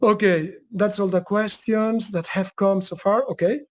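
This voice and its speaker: A man's voice: high voice, steady tone, a little slow, no echo. A man with a Spanish accent presenting in a webinar and answering questions, often restarting his sentences. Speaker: guest presenter.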